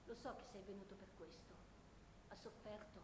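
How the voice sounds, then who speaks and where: Actress doing grieving peasant mom in a war radio play, insistent, echoey hall, bit of noise. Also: female voice, medium pitch, fairly even intonation, moderate pace, natural voice. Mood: anguished, pleading. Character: grieving, insistent peasant mother of a dead soldier. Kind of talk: Italian radio theatre monologue and dialogue. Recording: echoey reverberant room, faint noise.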